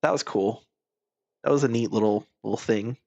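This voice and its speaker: A man's voice: fairly low pitch, normal speed, creaky, darkish tone, no echo, highs cut off. A man doing casual, rambling game commentary as a streamer, blunt and informal with plenty of swearing.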